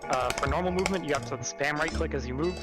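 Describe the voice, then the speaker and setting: A man's voice: fairly high pitch, fast, over loud music. A man giving matter-of-fact, technical explanations as live commentary on a video game speedrun.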